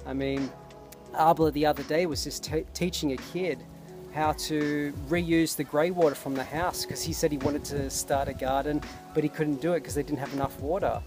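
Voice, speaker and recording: A man's voice: on the high side, fairly even intonation, moderate pace. A man talking casually and earnestly to camera outdoors, reflecting with enthusiasm. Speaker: vlogger and permaculture intern. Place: outdoors at a desert garden site. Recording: loud music behind the voice.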